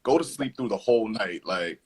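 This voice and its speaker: A man's voice: on the low side, animated, moderate pace, slightly rough. A young man hosting a casual interview, chatty and laid-back, full of slang. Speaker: host.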